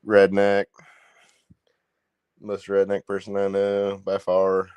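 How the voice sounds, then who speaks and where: A man with an Indiana accent hosting a casual livestream, talking in a rambling, profane, excitable way. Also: deep voice, takes it slowly, clean tone, no room sound. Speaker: livestream host.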